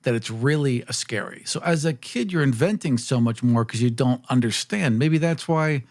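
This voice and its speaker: A man's voice: medium pitch, animated, moderate pace, darkish tone. A man hosting a podcast, talking casually as he asks questions and muses along in discussion. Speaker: podcast host.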